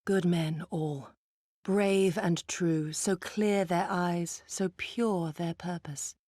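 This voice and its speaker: Casting: dutiful female shinobi for game drama, lowish voice, formal and solemn, dry close sound. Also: fairly even intonation, takes it slowly, dark tone, dry recording. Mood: solemn, wistful. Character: earnest, dutiful female shinobi resistance fighter. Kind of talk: dramatic video game acting.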